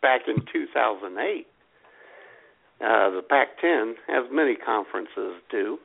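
A man's voice: medium pitch, lively intonation, unhurried, gravelly. A man co-hosting a podcast over a cordless phone, trading dry puns and jokes. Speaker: podcast co-host. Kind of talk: casual comedic chat. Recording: tinny phone line.

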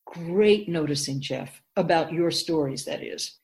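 A woman's voice: sits low, unhurried, darkish tone. A woman playing an assured immortal keeper of souls in a radio drama, explaining things in a composed, formal, didactic way. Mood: instructive. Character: feminine-voiced immortal spirit keeper of souls, assured and instructive.

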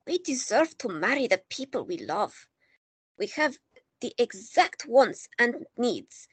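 A woman playing an older Greek-born artist and grandmother, calm and dryly teasing in an audio drama. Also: a little high, slow, breathy edge, no room sound, exaggerated voice. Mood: wry. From Greece.

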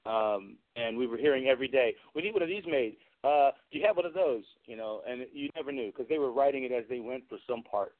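A man's voice: medium pitch, lively intonation, fast, hardly any pauses. Chatty man on a lo-fi call. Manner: chatty, rambling, amused anecdotes. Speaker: guest, movie special effects and prop maker. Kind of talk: casual interview storytelling. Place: remote call into a radio show. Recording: lo-fi, phone-like internet radio call.

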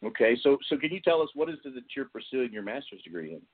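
A man hosting a podcast discussion, speaking haltingly and often repeating his words. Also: medium pitch, very animated, breathy edge. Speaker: podcast host. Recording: tinny phone-like call audio.

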